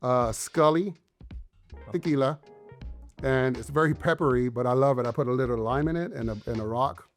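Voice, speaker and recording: A man's voice: mid-range voice, normal speed, faint music behind. A man hosting a casual talk show, asking questions in an upbeat, easygoing way. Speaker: real estate agent, host. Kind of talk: casual interview chat.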